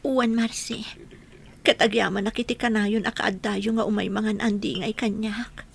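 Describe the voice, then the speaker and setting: A woman playing a grieving, tearful widow in a radio drama, lamenting in drawn-out phrases. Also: medium pitch, little pitch movement, creaky, slightly rough. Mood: sad, grateful. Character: grieving widow Aurelia, mourning her husband Marlon.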